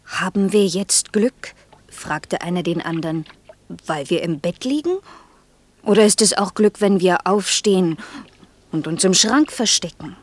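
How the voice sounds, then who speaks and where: Hushed, husky, pensive woman. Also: fairly low pitch, no echo. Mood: tender, dreamy. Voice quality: breathy, husky. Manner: hushed, slow, lyrical storytelling that slips into near-whisper. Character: gentle, pensive woman telling a fairy tale, gravely earnest. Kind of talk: quiet, intimate German radio play acting.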